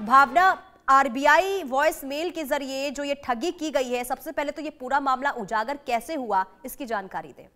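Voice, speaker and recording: A woman's voice: sits high, normal speed, few pauses, fairly bright tone, soft background music. A woman anchoring live breaking news, speaking in an urgent, cautioning and repetitive way.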